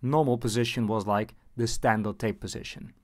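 A man's voice: lowish voice, fairly even intonation, normal speed. A man narrating a casual how-to lesson as presenter, explaining things in an informal, wry way.

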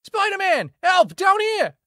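An actor playing a brash, chatty city motorist in a comic dub, with a gravelly, wheedling delivery. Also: male voice, extremely high pitch, huge pitch swings, measured pace, pushed and bright, slight background noise, full character voice. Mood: calling for help. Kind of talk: comic dub character acting.

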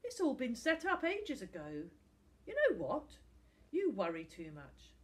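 A woman's voice: a little high, huge pitch swings, a little slow, voice pushed up. A woman voicing a kindly, easygoing man, a star footballer, speaking casually in an audiobook. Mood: placating. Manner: casual, placating. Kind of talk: audiobook character acting.

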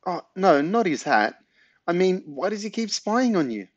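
A man voicing an anxious, polite young boy in a storybook, spluttering and squeaky with nerves. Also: very animated, moderate pace, no echo, lo-fi sound. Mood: curious, alarmed. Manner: spluttering, squeaky when nervous.

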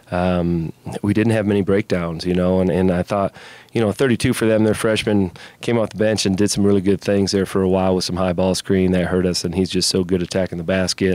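A middle-aged man speaks as a basketball coach in a postgame interview. His voice is low and fairly flat in pitch, with a measured, conversational delivery. The sound is dry, taken on a handheld microphone. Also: no echo. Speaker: college basketball head coach. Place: indoors in front of a media backdrop. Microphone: handheld mic held by interviewer.

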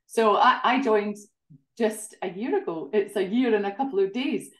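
A woman's voice: mid-range voice, normal speed. Scottish woman, forties, interview guest on a webcam, roomy home sound. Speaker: guest, tourism association director. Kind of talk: video call interview. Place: home living room. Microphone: laptop webcam video call. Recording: roomy home video call.

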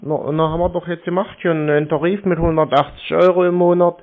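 A man's voice: a little high, flat delivery, fast talker. A man chatting casually on prank phone calls, answering tersely and playing dumb. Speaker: YouTuber taking scam calls. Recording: dull, muffled phone call audio.

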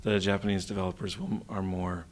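A man in his thirties from Los Angeles, a game programmer answering interview questions casually in a small office room, thoughtful and halting with many fillers, into a handheld mic. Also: sits low, flat delivery. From Los Angeles, USA. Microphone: handheld mic held by interviewer.

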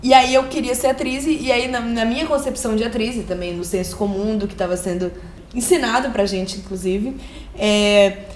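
A woman's voice: a little high, a little fast, roomy sound, slight background noise. A young woman hosting an interview in a living room, talking conversationally and affirming her guest often. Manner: conversational, frequent affirming backchannels. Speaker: host. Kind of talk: casual interview chat. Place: sunny living room, seated on a sofa.

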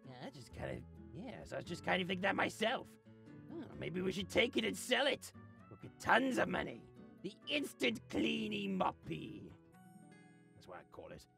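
A performer voicing a grumpy, greedy, scheming little creature in a comedy game series, dramatic and sulky, swinging from mutters to shouts. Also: mid-range voice, big pitch swings, normal speed, gravelly, soft background music, heavily acted. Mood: smug. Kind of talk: voice-acted comedy over gameplay.

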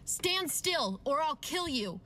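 An actress playing a defiant, frightened woman doctor in an old-time radio drama, speaking deliberately and dramatically. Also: high voice, big pitch swings, pushed and bright, full character voice. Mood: alarmed. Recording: very noisy, with clicks.

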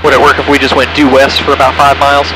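A man's voice: mid-range voice, monotone, fast talker, music in the background, poor audio. A young man talking casually and procedurally on an aviation headset while flying a small plane. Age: young adult. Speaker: pilot narrating his flight. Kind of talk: cockpit vlog and radio calls. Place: small airplane cockpit in flight. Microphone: aviation headset boom mic. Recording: loud aircraft engine noise, headset audio.

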